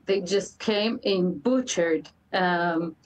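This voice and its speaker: A middle-aged woman with an Israeli accent speaks haltingly and earnestly in an interview, repeating words for emphasis. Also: fairly low pitch, distant mic, a little hiss or hum, rough recording. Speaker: interview guest, mother of a hostage. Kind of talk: emotional live TV interview. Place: indoor room, live remote video link. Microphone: computer video call.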